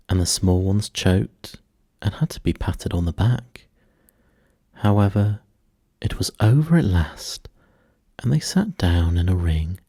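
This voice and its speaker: A man reading a bedtime story in a slow, soothing, measured and hushed way. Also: low voice, husky, dry recording, clean studio sound. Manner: measured, hushed, gently paced delivery. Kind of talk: slow, soothing scripted storybook narration for sleep.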